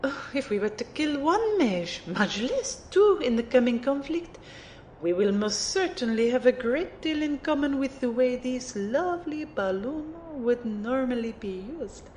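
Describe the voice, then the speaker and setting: Woman doing a condescending cool-headed mentor lady, very precise, slow n high, audio drama. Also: animated, a little mellow, a little hiss or hum. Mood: instructive, calm. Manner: formal, precise, condescending, clipped declarations.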